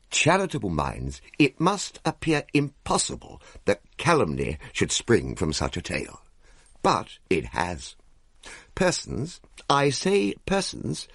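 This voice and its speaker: An actor playing a pompous, flustered Victorian gentleman with an English accent, telling his tale in a florid, wordy, indignant style. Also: on the low side, creaky, husky, no room sound, clean studio sound, full character voice. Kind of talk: radio comedy drama, theatrical storytelling.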